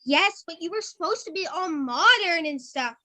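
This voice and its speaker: Woman as a shrill, jeering peasant heckler. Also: animated, moderate pace, bright, forceful tone, heavily acted. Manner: blunt, jeering. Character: heckling, resentful, blunt Russian peasant. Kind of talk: comic school puppet-show skit.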